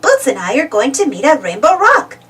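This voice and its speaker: A woman's voice: high-pitched, huge pitch swings, moderate pace, a bit bright, far from the mic, heavily acted. A woman voicing a cheerful, eager little girl adventurer in a children's storybook, exclaiming and putting questions to the listener. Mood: cheerful, inviting. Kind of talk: children's storybook read-aloud.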